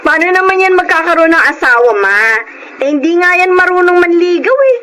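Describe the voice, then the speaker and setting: Casting: whiny Filipina twin, thirty, radio drama; high, very animated delivery. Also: female voice, brisk, a little hiss or hum, heavily acted. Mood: petulant, whiny. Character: Pearl, thirty-year-old woman, petulant twin, sore loser.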